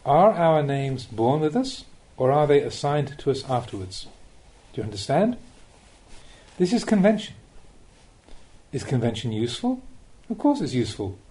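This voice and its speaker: A man reading spiritual teachings aloud as an audiobook narrator, in a measured, instructive manner with emphatic phrasing. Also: on the high side, big pitch swings, mellow.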